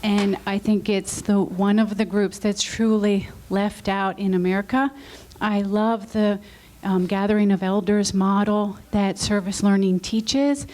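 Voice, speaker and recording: A woman in the audience asking the panel a heartfelt question, apologetic and loud-voiced. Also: mid-range voice, steady tone, a little slow. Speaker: audience member. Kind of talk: question from the floor. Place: conference session room.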